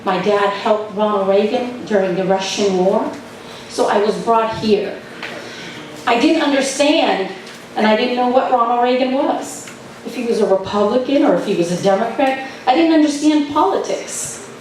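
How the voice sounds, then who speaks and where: A woman's voice: fairly high pitch, normal speed, darkish tone. A woman from Afghanistan chairing a political convention, firm and insistent as she keeps order and gives a speech. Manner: firm, repeats thank-yous to restore order. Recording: echoey hall, distant mic, background noise.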